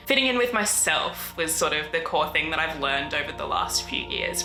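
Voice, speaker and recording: A person with a woman's voice speaking thoughtfully in a documentary interview, measured and often saying "you know". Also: deep voice, gravelly. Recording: echoey room with music behind.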